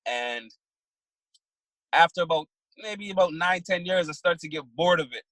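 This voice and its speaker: A man in his thirties from Barbados, a trucking business owner answering interview questions in a plain, halting way. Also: a little high, animated, fairly bright tone, no room sound, poor audio. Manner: plain-spoken, halting with fillers and restarts.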